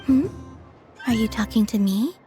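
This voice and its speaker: A woman voicing a gentle, naive young moon goddess in a video game, serene, curious and hesitant. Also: fairly high pitch, a little slow, music in the background, lightly acted. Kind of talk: video game character acting.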